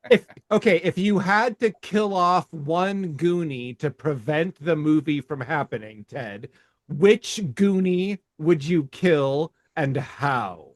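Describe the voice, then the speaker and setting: A man co-hosting a podcast in casual chat, animated and laughing often, restarting his sentences as he talks. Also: on the high side, slow pace, no room sound. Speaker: podcast co-host.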